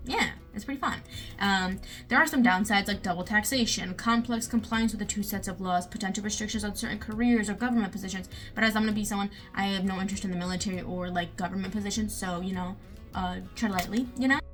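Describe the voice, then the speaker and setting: Young woman, casual how-to vlogger, rapid and filler-heavy, mid pitch. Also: fairly bright tone, faint music behind. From United States. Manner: chatty, lots of fillers like "you know" and "um".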